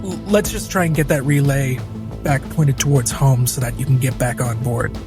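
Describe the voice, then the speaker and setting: A man playing a cautious, reassuring spaceship crewman in improvised roleplay, speaking haltingly. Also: medium pitch, steady tone, natural voice. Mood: uneasy, cautious. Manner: hesitant, halting. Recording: music bed behind the voice.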